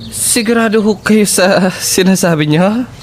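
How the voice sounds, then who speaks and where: A woman's voice: mid-range voice, a little slow, a little hiss or hum, close to an everyday voice. A woman playing a nervous, skeptical young tenant who stammers expressively in a horror audio drama. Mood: uneasy.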